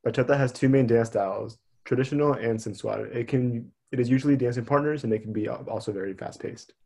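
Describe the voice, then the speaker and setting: Student from argentina, quick talker, reads slides. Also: mid-range voice, gravelly, darkish tone, husky. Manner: reading from slides. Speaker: student presenter.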